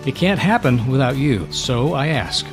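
A man's voice: medium pitch, lively intonation, normal speed, over music. A man hosting a reflective radio program, explaining things and addressing listeners in an earnest way. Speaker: radio show host and storyteller.

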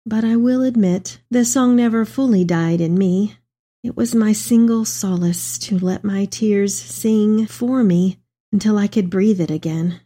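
A woman's voice: medium pitch, measured pace, mellow, natural voice. A woman playing an elderly, tender mother reading her letter aloud in a reflective dramatic reading. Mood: confiding, wistful.

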